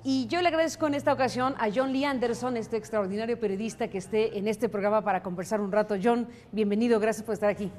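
A woman's voice: fairly high pitch, steady tone, fast, few pauses, a little mellow, a little hiss or hum. A woman hosting an interview, welcoming her guest formally and asking direct questions. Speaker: interviewer host.

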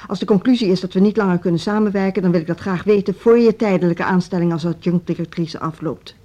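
Mellow, measured female director played by an actress. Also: on the low side, lightly acted. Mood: cutting, controlled. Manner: measured, cool, formal. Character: firm, measured female music school director. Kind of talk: naturalistic radio drama acting. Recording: dull, muffled radio play recording.

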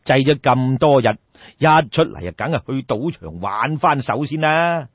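A man's voice: medium pitch, very animated, unhurried, breathy edge, no room sound, dull audio. A man with a Cantonese accent telling a story in a colloquial, theatrical traditional oral style, addressing listeners directly. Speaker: storyteller, audiobook narrator.

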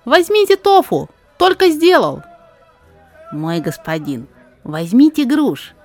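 A woman's voice: fairly high pitch, very animated, slow pace, a little mellow, faint music behind, pitched above the performer's own voice, heavily acted. A woman voicing a frank, gossipy male fruit seller who talks in plaintive exclamations in a rhymed audio drama. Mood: hawking.

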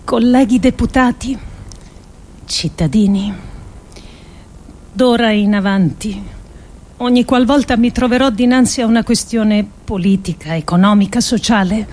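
An actress playing an earnest, resolute woman politician who delivers a solemn theatrical speech with measured, emphatic phrasing. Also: a little high, a little mellow. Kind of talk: theatrical monologue, solemn oratory.

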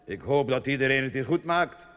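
Actor as lively young nobleman, echoing hall. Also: mid-range voice, fairly quick, natural voice. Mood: breezy, polite. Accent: Flemish. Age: young adult. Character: confident young nobleman returning home to his father. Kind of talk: radio drama acting. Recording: long hall reverb.

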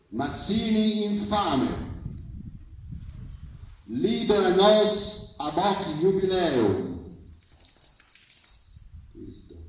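A man delivers spoken-word poetry in an incantatory way, leaning on refrains. His overall pace is slow, and the live recording is heavily echoing and somewhat far from the microphone. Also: high-pitched. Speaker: poet performing at a reading. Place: live public reading, heard from a distance. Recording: very echoey, distant live recording.

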